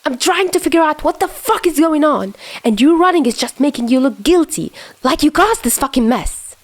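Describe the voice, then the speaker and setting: Woman acting hot-tempered boy, shouting, raised pitch. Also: lively intonation, normal speed. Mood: angry, hurt. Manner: shouting, confrontational, sharp.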